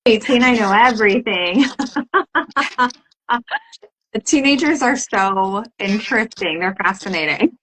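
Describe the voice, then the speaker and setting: A woman's voice: medium pitch, fairly bright tone, slight background noise, rough recording. A woman hosting a podcast, talking enthusiastically with a guest and laughing easily. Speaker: podcast host. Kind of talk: casual podcast interview.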